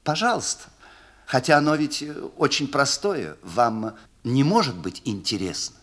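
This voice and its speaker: An older man voicing a shy, flustered young man in a story reading, halting and stammering with feigned casualness. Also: medium pitch, lively intonation, fairly bright tone. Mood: flustered, evasive.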